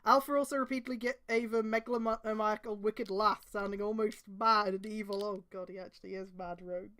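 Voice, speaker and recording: Bright-voiced sarcastic young woman. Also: mid-range voice, fairly even intonation, brisk. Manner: sarcastic, swears, breaks into loud outbursts. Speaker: host. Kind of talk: casual reading aloud with commentary.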